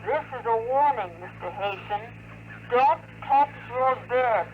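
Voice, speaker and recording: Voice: medium pitch, a little slow. An actor playing an ominous anonymous caller giving a warning through a telephone filter in a 1950s radio drama. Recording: tinny, noisy phone line.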